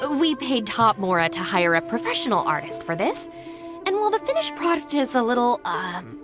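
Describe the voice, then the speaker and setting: A woman's voice: on the high side, sing-song, moderate pace, over music. A woman playing a composed young woman in a video game, speaking in formal, businesslike phrases as she covers for her gang. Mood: bluffing, formal. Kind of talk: English video game voice acting.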